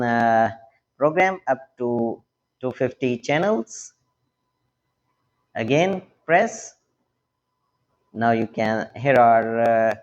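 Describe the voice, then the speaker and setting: A man presenting a hands-on, step-by-step technical tutorial, speaking instructionally in short, halting phrases. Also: medium pitch, muffled recording. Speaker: tech tutorial host.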